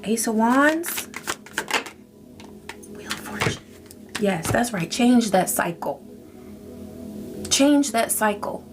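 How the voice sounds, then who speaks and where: A woman's voice: a little high, animated, slow, long pauses, background noise. A woman giving a spiritual tarot reading, speaking in a soothing, affirming way to her listener. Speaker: tarot reader. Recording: music playing behind.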